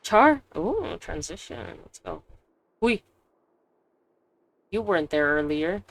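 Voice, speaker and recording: Low-voiced woman casually reacting to a game. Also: sing-song, takes it slowly, creaky. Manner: playful, reacts in short slangy remarks. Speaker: streamer. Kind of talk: casual gameplay reaction commentary.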